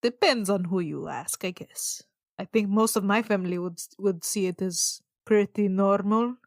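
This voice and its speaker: A woman's voice: medium pitch, normal speed, no echo, clean studio sound. A woman chatting casually and improvising in character as a player in a tabletop role-playing game.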